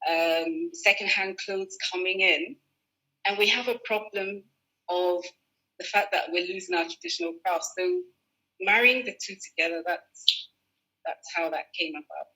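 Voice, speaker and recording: Bright-voiced woman explaining her craft. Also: medium pitch, animated, moderate pace, low-quality recording. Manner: thoughtful, explains with restarts and fillers. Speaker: fashion designer guest. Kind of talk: relaxed conversational interview.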